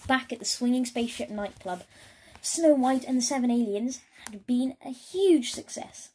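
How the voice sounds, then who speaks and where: A woman storyteller giving an expressive read-aloud of a children's story. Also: a little high, moderate pace, husky.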